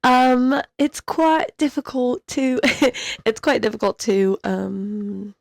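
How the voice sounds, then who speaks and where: Young woman cohosting a chill podcast, lots of giggles, bit of vocal fry, dry close mic. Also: fairly high pitch, level tone, takes it slowly, no room sound. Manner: giggly, rambling, self-deprecating. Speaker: podcast co-host. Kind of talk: casual chat.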